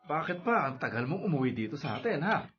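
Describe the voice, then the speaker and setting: Strict middle aged dad, scolding and sarcastic, pitch jumps around, muffled radio drama. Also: male voice, big pitch swings, fast talker. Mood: reproachful. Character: stern, sarcastic father scolding his grown sons. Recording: dull, band-limited radio drama audio.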